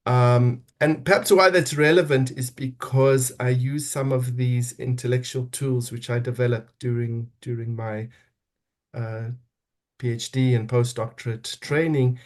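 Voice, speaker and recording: A man's voice: medium pitch, fairly even intonation, a little slow. A middle-aged man from South Africa with a South African accent, a podcast guest speaking reflectively and often restarting as he searches for words. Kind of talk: reflective interview talk.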